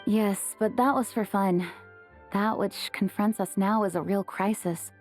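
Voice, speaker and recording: A woman voicing an earnest, dutiful female leader in a video game, formal and slightly high-strung. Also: fairly even intonation, measured pace, a little mellow, faint music behind, lightly acted. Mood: bashful. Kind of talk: animated game dialogue.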